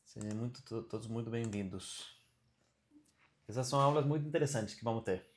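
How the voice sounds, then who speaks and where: A man teaching a casual online class on Vedic studies and Ayurveda over a video call, relaxed and often checking in with his listeners. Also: mid-range voice, brisk.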